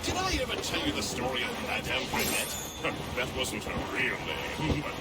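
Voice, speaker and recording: A man's voice: mid-range voice, huge pitch swings, normal speed. A man playing a jovial, talkative first mate of a sailing warship in a video game, folksy and theatrical. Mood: roguish, jovial. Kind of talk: video game cutscene acting. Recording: loud sea and ship noise.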